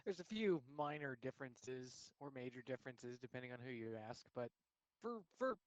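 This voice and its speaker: Dude co-hosting a lets play, medium pitch, wry and chatty, very dry recording. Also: male voice, lively intonation, normal speed, dry recording, rough recording. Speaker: co-host of a game playthrough. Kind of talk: casual game commentary.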